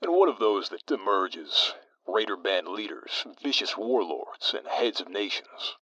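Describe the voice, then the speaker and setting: Actor as a low, expressive, courtly radio co-host. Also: male voice, no room sound, heavily acted, low-quality recording. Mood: grave, admiring. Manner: formal, measured wording. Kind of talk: audio drama acting as talk radio.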